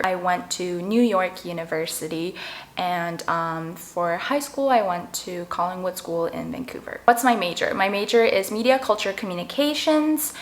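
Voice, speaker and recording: Bubbly young woman chatting while eating. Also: mid-range voice. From Canada. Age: 20s. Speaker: vlogger. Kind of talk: casual mukbang chat.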